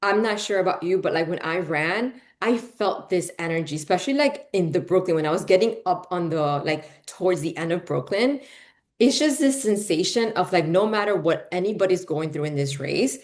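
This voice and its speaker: A woman's voice: on the low side, lively intonation, moderate pace, a little mellow. A woman in her forties, born in Peru and raised in Miami, hosting a casual interview and talking in a chatty way full of fillers. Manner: chatty, lots of fillers, frequent "right?" and "you know". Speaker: podcast host.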